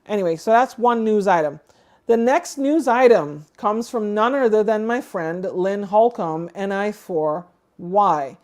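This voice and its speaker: A woman's voice: medium pitch, expressive, a little slow, darkish tone. A woman co-hosting a livestream, giving casual news commentary in a conversational, explanatory way, thinking aloud as she goes. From outside the United States. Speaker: co-host of a ham radio livestream.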